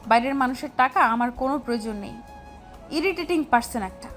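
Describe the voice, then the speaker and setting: A woman's voice: high voice, lively intonation, brisk, a bit bright. A woman playing a feisty, easily annoyed teenage schoolgirl in an audio story, with music underneath. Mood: angry, indignant. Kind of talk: Bengali audio story acting.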